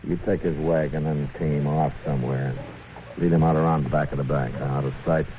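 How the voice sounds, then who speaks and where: An extremely low-voiced American lawman from a 1950s radio western. He is stern, steady and terse, giving orders in a firm deadpan. The sound is a narrow, crackly vintage broadcast. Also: moderate pace. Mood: calm, decisive. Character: stern, steady frontier town marshal, a man.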